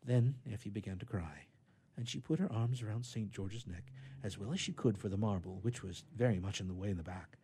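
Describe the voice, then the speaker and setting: A man reading a children's story aloud in an expressive storytelling style. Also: fairly low pitch, a little fast, slight background noise.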